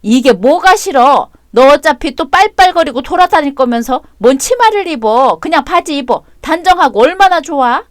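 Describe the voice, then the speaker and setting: A woman's voice: high voice, lively intonation, normal speed, few pauses, no room sound, studio quality. A woman voicing a stern old grandmother who scolds in a coarse, nagging way. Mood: scolding.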